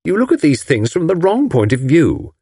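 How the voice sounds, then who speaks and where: A man voicing a composed young gentleman who speaks dryly and wryly. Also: a little high, huge pitch swings, normal speed, slightly rough, dry recording, voice pushed up, full character voice. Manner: dry, wry. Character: dry young Englishman, wry and composed.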